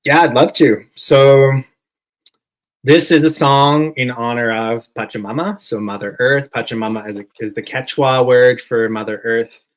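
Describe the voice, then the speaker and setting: A man's voice: medium pitch, fairly even intonation, unhurried. A man, a musician guest, talking earnestly in a casual interview over a video call, with lots of filler words.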